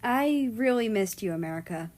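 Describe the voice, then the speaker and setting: A woman's voice: fairly high pitch, expressive, moderate pace, darkish tone, natural voice. A woman voicing an affectionate, teasing younger brother with a folksy manner in a read-aloud story. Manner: folksy, ends phrases with eh.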